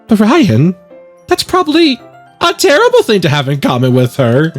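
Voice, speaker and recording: Man voicing a shy, high-pitched bookish schoolgirl. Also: wildly swooping pitch, moderate pace, faint music behind, heavily acted. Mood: embarrassed. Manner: hesitant, stammering, easily embarrassed. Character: shy, flustered bookish teenage schoolgirl in a visual novel.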